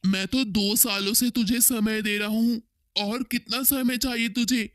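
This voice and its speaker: A man's voice: very high-pitched, steady tone, measured pace, fairly bright tone, dry recording, clean studio sound. A man voicing a cruel, greedy, threatening village moneylender in a cartoon story, scolding and menacing. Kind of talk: Hindi cartoon story dialogue.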